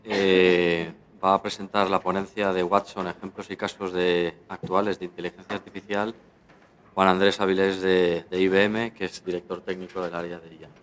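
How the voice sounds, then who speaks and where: Male moderator, very low and flat, introduces the next talk haltingly. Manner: halting, slow. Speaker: conference moderator. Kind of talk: speaker introduction.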